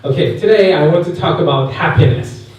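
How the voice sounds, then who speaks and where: A man's voice: on the high side, fairly quick, a little mellow. A man in his thirties from Korea with a Korean accent, giving a chatty personal talk to a youth camp and asking the crowd questions. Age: 30s. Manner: chatty, asks the audience questions, many fillers. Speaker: guest speaker at a youth camp. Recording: echoey hall, mic far off, faint noise.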